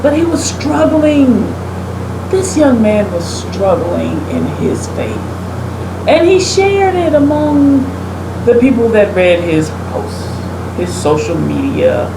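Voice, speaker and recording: A woman with a fairly high voice speaks like an emphatic preacher giving her opinion. She is casual but pointed, leaning on rhetorical questions. The room echoes and there is clear background noise. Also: wildly swooping pitch, slow. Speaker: talk show host giving opinions. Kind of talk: opinionated commentary in a casual conversation. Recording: roomy echo, clear air-conditioning-like background noise.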